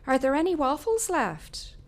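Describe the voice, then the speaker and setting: A woman's voice: high-pitched, sing-song, moderate pace, pitched above the performer's own voice. A woman voicing a young working woman in a story reading, politely hiding her irritation, with a Northern Irish accent. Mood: forced cheer. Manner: forced politeness.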